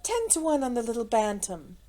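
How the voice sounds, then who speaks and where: A woman's voice: a little high, sing-song, fairly quick, dark tone. Woman acting a merry, teasing society lady. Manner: playful, mocking.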